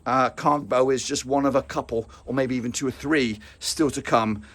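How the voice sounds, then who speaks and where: A man losing his voice, giving an excitable, rambling reaction to a football match with many fillers and restarts. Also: medium pitch, normal speed. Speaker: football fan vlogger. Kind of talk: casual match reaction to camera.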